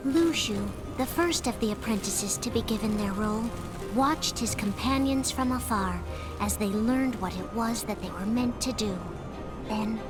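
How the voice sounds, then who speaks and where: A woman narrating a game's legend as a solemn, gentle storyteller with a measured, scripted delivery. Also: on the high side, over music, natural voice.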